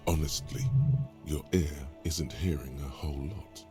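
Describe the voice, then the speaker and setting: Game narrator, deep gravelly male voice, over game music. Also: a little slow. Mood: hushed. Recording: game audio under music.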